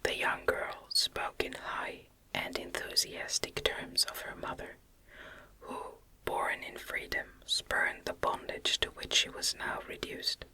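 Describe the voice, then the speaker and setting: Female ASMR reader for gothic fiction: fully whispered, unhurried, gentle and measured delivery. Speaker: audiobook reader.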